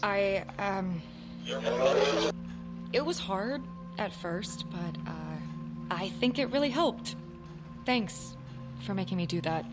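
An actress playing an anxious, apologetic young woman who speaks haltingly, in a naturalistic audio drama. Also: medium pitch, lively intonation, over loud music. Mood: hesitant, subdued. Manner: hesitant, stammering. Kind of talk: naturalistic audio fiction acting.

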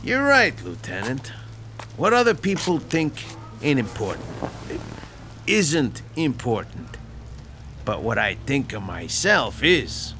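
An actor with a Brooklyn accent playing a vain, self-taught mob boss of about thirty in a radio drama, who keeps correcting his own rough grammar. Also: medium pitch, huge pitch swings, takes it slowly, sharp tone, slight background noise, exaggerated voice. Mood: boastful. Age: 30s. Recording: radio drama with music behind.

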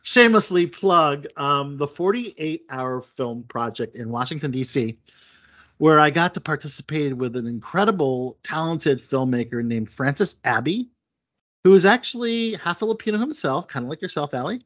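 A man's voice: fairly high pitch, lively intonation, moderate pace, a bit bright. A man hosting a casual chat show, talking at length with many fillers and restarts. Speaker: podcast host. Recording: dull lo-fi sound.

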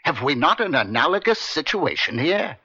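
Bright-voiced, oratorical defense lawyer man. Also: fairly high pitch, very animated, normal speed, breathy edge, exaggerated voice. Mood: formal, insistent. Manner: formal, rhetorical, oratorical. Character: eloquent, combative male defense attorney. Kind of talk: old-time radio drama acting. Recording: dull lo-fi vintage radio.

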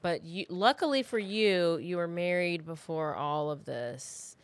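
A woman's voice: fairly low pitch, unhurried. A woman co-hosting a podcast, chatting in a rambling, joking, informal way. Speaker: podcast co-host and storyteller. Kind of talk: casual chat.

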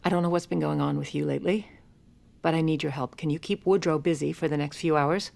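An actress playing a shrewd, commanding first lady in an audio drama, brisk, authoritative and wry. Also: deep voice, fairly even intonation, gravelly, a little mellow. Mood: commanding, calculating. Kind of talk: audio drama dialogue and narration.

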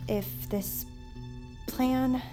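A woman's voice: high-pitched, steady tone, very slow, music in the background. An actress playing an earnest, nervous young woman who fights monsters in an audio drama. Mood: nervous, tender.

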